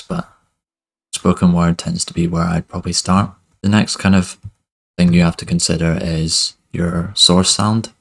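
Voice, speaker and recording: Low, gravelly young man explaining software. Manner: relaxed, loose explanation full of "kind of" and "basically". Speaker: music production tutor. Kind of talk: screen-recorded software tutorial. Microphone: headset with webcam inset.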